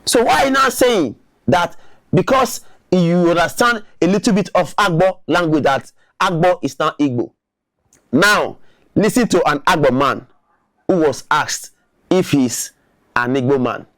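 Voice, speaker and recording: A man's voice: on the high side, animated, measured pace, fairly bright tone, dry recording. A man giving argumentative opinion commentary, repeating words for emphasis. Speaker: online commentator.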